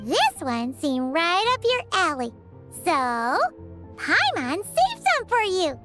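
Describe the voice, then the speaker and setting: Very high, squeaky childlike fairy girl. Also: huge pitch swings, takes it slowly, loud music behind the voice, exaggerated voice. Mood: chirpy, eager. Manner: speaks of self in third person, exclaiming. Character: small, childlike, chirpy fairy companion girl, food-loving. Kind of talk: video game voice acting.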